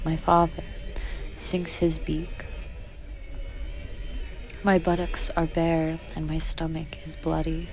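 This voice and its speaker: A woman giving a deliberate, solemn dramatic reading of literary prose. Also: fairly low pitch, a little mellow, low-quality recording. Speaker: reader. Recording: music behind the voice. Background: music.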